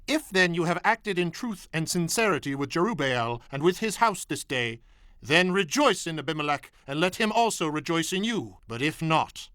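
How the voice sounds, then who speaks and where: A defiant young man of Bible times in a radio drama. His voice is fairly high and bright, forceful as he declaims to a crowd, with a dry, close sound. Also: lively intonation, normal speed, no room sound. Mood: accusing, warning. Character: defiant young biblical man crying out a warning parable.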